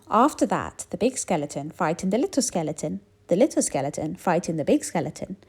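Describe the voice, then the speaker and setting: Very animated woman reading a children's story. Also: medium pitch. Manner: animated, dramatic emphasis on repeated phrases. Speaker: storybook reader. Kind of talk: expressive children's story read-aloud.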